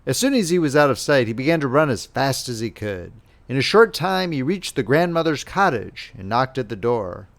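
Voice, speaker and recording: A man narrating a children's fairy tale as a scripted, expressive audiobook reading. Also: medium pitch, moderate pace.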